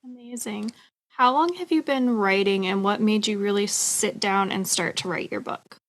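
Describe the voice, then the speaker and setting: Woman hosting an interview, mid-pitched voice. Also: normal speed. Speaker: interview host. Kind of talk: conversational interview questions. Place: remote call.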